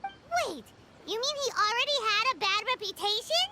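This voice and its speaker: Bubbly little fairy sidekick, super high voice, swoops all over. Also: female voice, very animated, normal speed, heavy background noise, heavily acted. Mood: chatty. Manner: bubbly, talks of herself in third person. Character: tiny floating female companion sprite, chirpy. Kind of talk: video game voice acting. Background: game music.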